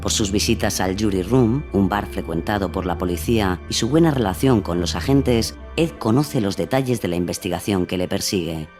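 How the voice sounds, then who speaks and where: A man's voice: sits low, moderate pace, few pauses, darkish tone, soft background music. A man reading scripted narration for a radio crime drama.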